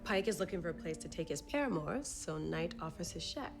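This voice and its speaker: A lively woman sleuth from a 1920s drama. Her voice sits a little low but swings widely, direct and excitable, with clear music underneath. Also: a little fast. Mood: engaged. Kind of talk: television period drama acting.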